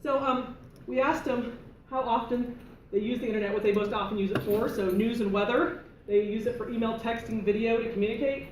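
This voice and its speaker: A woman's voice: a little high, steady tone, brisk. Woman presenting survey results in a meeting. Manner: laughs often, chatty asides. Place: meeting room. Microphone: room mic from afar. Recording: roomy, distant, noisy room recording.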